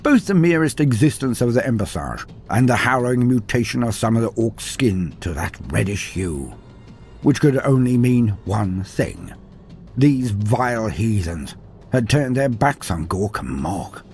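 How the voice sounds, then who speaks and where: A man's voice: mid-range voice, very animated, measured pace, a little mellow. A man giving a dramatic, grandiose scripted narration in the manner of fantasy storytelling. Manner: theatrical, grandiose. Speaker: narrator of fantasy lore videos. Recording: background music under the voice. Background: music.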